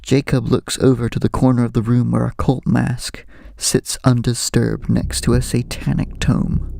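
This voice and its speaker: A man narrating a horror audio drama in a measured, suspenseful way. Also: mid-range voice, level tone, creaky, warm tone, dry recording, studio quality. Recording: music underneath.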